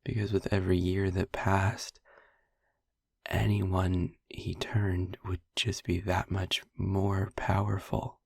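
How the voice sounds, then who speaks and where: Intimate audio RP: male actor as gentle, wry young vampire boyfriend, husky near-whisper, slow halting delivery, close dry sound. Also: deep voice, flat delivery, no room sound, full character voice. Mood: wry, amused. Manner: halting, pausing, wry asides. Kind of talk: intimate audio roleplay, softly confiding to the listener.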